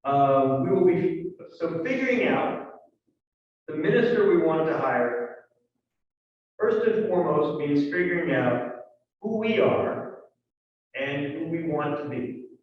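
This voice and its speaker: A man speaks to a congregation in a loose, conversational way, dropping fillers and little asides as he goes. His voice sits a little high for a man. It reaches the mic from far off across a large echoing hall, with a dull, muffled edge. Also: lively intonation, takes it slowly, pauses often. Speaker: church leader giving announcements. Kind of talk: congregational announcements. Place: large church sanctuary.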